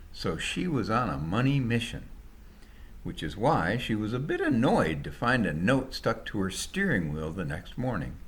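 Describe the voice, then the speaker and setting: A man's voice: on the low side, a bit bright. A man narrating a scripted short story as its author, in a colloquial, dramatized storytelling style.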